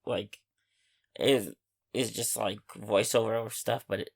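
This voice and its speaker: A man co-hosting a podcast in casual film discussion, speaking in loose, halting, unfussy phrases. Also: on the low side, steady tone, creaky, slightly rough. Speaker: co-host of a horror film review podcast.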